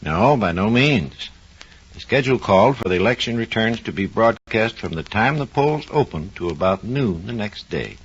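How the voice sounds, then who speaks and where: Male narrator, scripted 1940s radio, formal storytelling, expressive intonation, husky, dull vintage recording. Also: on the low side, moderate pace. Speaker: research engineer, guest narrator.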